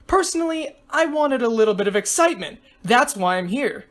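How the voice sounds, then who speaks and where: A woman voicing an excitable teenage girl contestant in a story, with a gushing, exclamatory manner. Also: fairly high pitch, a little fast, lightly acted. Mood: chatty. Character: exuberant, loud, excitable teenage girl reality-show contestant.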